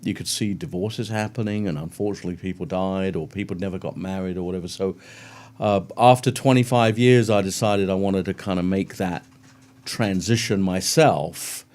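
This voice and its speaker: A middle-aged man with a London English accent, a guest chatting casually and warmly about his career in an interview. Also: sits low, steady tone, normal speed. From England. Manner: friendly, chatty, brief laughs.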